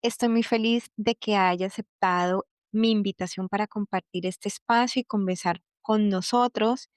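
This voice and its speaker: A woman from Cuba hosting a podcast interview, warm and affirming with her guest. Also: medium pitch, fairly even intonation, normal speed, clear, resonant tone, no room sound, clean studio sound. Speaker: podcast host.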